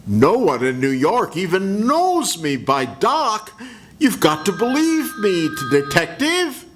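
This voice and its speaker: A man's voice: high-pitched, wildly swooping pitch, takes it slowly, soft background music, full character voice. An older man playing a flustered husband. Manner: flustered, stammering. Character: nervous husband suspect, man, middle-aged. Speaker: amateur radio actor. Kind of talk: old-time radio drama reading.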